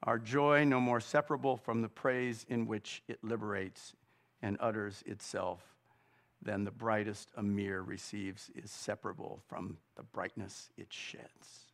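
A middle-aged man preaching a sermon, slow and deliberate, building to loud, fervent peaks before dropping back down. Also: on the low side, creaky. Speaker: pastor.

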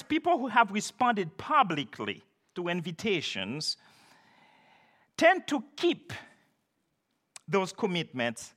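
An older man whose first language is French, preaching a sermon in a deliberate, emphatic manner. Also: sits high, sharp tone. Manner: deliberate, emphatic preaching with occasional self-deprecating laughs. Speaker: pastor.

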